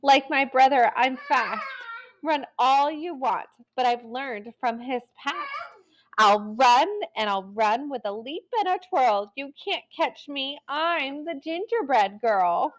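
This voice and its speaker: A woman's voice: high voice, lively intonation, slow, pitched above the performer's own voice, rough recording. A woman voicing a sassy, confident young gingerbread cookie girl with singsong rhyming taunts in a children's storybook read-aloud. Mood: playful, boastful.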